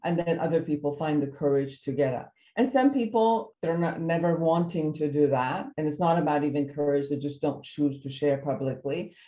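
A woman's voice: low-pitched, warm tone, echoey room. A woman originally from Iran, speaking as a psychotherapist guest on a podcast interview, explaining thoughtfully with many fillers and restarts. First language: Persian.

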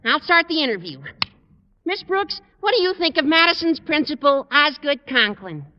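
Eager, earnest American schoolboy, high cracking-teen register, reverberant 1940s radio sitcom. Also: male voice, big pitch swings, moderate pace. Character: eager, squeaky teenage schoolboy. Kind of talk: 1940s radio comedy acting. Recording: reverberant, muffled vintage radio recording.

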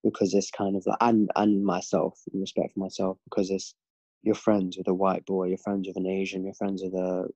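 Deep, flat-voiced young English man. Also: a little fast, no room sound. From London, England. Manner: reflective, earnest. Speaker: podcast guest. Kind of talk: casual conversation. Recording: dull lo-fi dry recording.

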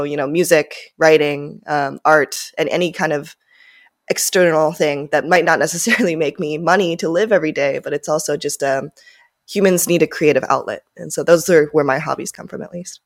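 A woman's voice: low-pitched, fairly even intonation, normal speed. A woman in her twenties, born and raised in Japan with Japanese as her first language, answering interview questions in a rambling, casual way with many fillers. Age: 20s. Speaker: guest, startup founder.

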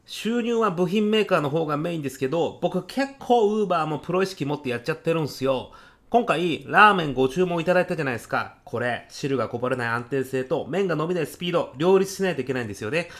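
A man's voice: fairly high pitch, lively intonation, fast, fairly bright tone. Male actor as keen young salaryman courier, overzealous, earnest, casually polite, radio comedy sketch. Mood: earnest, excited. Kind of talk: comic radio drama acting.

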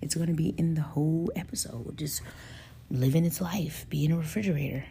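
A young woman hosting her podcast from a hotel room, hoarse with a soft raspy voice, chatting casually and laughing now and then. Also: low-pitched, fairly quick, a little hiss or hum. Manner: relaxed and chatty, laughs often, slangy asides. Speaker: podcast host. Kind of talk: casual solo podcast chat.

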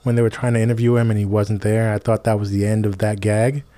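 Man, mellow voice, dry, teasing, laid-back podcast chat. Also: fairly low pitch, little pitch movement, fast. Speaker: podcast co-host. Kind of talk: casual movie chat.

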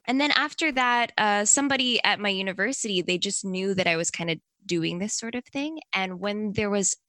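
A woman's voice: medium pitch, brisk, sharp tone, dry recording. A young woman from Canada answering interview questions in a chatty, upbeat way with plenty of likes and ums. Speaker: interview guest.

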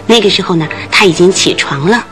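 A woman's voice: fairly low pitch, fairly quick, a bit bright, faint music behind, lightly acted. An actress in a radio drama playing a nervous, talkative landlady who tells things in earnest detail. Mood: frightened.

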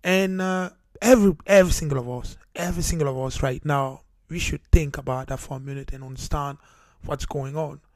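A man's voice: fairly high pitch, fairly even intonation, a little fast, darkish tone, no room sound, clean studio sound. A man from Cameroon hosting his own talk show, speaking earnestly with many fillers and restarts. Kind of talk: solo podcast monologue. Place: United Kingdom.

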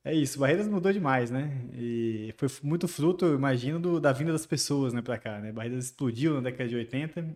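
A man, a guest on a podcast, telling stories in a relaxed way and often ending sentences with tag questions. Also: medium pitch, fast talker, few pauses. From Bahia, Brazil. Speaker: guest, construction company chief. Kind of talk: casual podcast interview.

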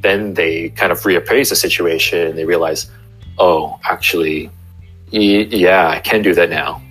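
A young chiropractor talks in a casual interview, walking through everyday examples with many restarts. His voice sits low but sounds bright and forward. Faint music plays softly behind him. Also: steady tone, measured pace, husky, low-quality recording.

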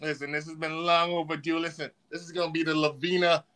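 A man hosting a podcast in casual banter, playful, animated and slangy as he interviews a guest. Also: on the high side, quick pace, clear, resonant tone. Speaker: podcast host.

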